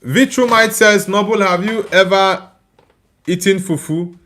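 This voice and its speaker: A young man from Nigeria hosts a live football watchalong. His voice sits a little high for a man. He talks in a loose, chatty way and laughs easily while reading out viewer comments. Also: unhurried, fairly bright tone. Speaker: watchalong streamer. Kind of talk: casual live match reaction and chat reading.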